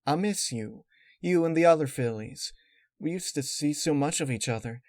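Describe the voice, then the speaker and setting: A man's voice: on the high side, animated, moderate pace, darkish tone, no room sound, clean studio sound, voice pushed up, natural voice. Man voicing a folksy, anxious country farm mare. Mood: wistful. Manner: folksy, homespun country phrasing.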